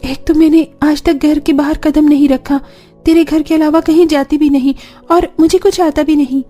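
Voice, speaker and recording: A woman's voice: high-pitched, steady tone, moderate pace, mellow, soft background music, lightly acted. An actress playing a worried, long-suffering woman of a poor village family in a plaintive, melodramatic cartoon style. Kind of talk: Hindi cartoon dubbing.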